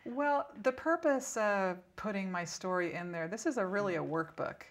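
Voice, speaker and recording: A woman's voice: mid-range voice, animated, normal speed, slight background noise. A woman speaking as an interview guest and spiritual teacher, explaining conversationally in an instructive, emphatic manner.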